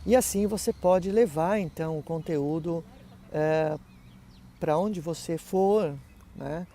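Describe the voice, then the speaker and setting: Middle-aged guy teaching german, chatty with lots of tag questions, warm mellow voice, little hiss behind. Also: fairly high pitch, slow. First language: Portuguese. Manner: conversational, many fillers and tag questions. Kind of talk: explaining online course details to students.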